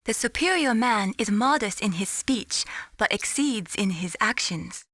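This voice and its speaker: An actress playing an earnest, gently teasing young female radar technician in a video game. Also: mid-range voice, animated, measured pace, dry recording, clean studio sound. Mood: polite, coy. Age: young adult. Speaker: mission support operator. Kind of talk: scripted video game voice acting.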